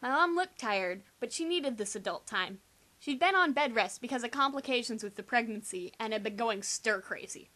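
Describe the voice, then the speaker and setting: Young female reader, high bright voice, sarcastic and theatrical delivery of a teen novel. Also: lively intonation, normal speed. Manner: exaggerated, sarcastic, theatrical emphasis. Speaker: amateur reader. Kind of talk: dramatic reading of a first-person teen novel.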